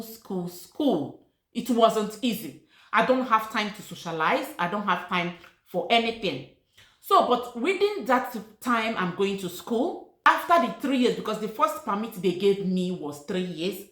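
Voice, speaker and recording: A woman's voice: medium pitch, a bit bright. A middle-aged woman from Nigeria whose first language is Igbo, telling her personal story to camera in a casual, earnest way and repeating key words for emphasis. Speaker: vlogger. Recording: echoey room.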